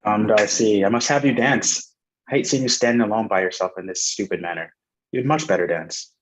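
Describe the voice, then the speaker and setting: A man plays an amiable young gentleman in a theatrical period reading. He talks quickly in a lowish voice. He is eager, friendly and easily smitten. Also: close to an everyday voice, low-quality recording. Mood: urging. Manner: enthusiastic, friendly, urging.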